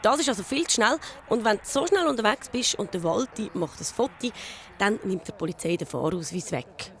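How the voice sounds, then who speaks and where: A woman's voice: mid-range voice, lively intonation, brisk, slight background noise. A woman with a Swiss accent, a children's TV reporter, reading scripted documentary narration for young viewers.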